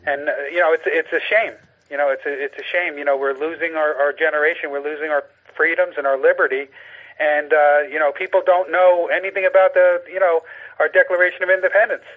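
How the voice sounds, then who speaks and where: A man speaking as a radio call-in guest in informal political talk, earnest and halting, over a narrow phone line. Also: on the high side, flat delivery.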